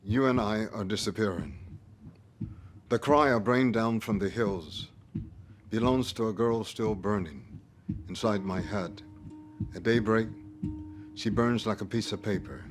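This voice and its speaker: A man giving a solemn, measured dramatic reading of poetry. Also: lowish voice, soft background music.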